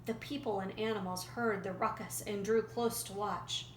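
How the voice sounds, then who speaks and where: A woman storyteller narrating a folktale in an expressive, dramatic and deliberate way. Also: medium pitch. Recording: roomy echo, faint background noise.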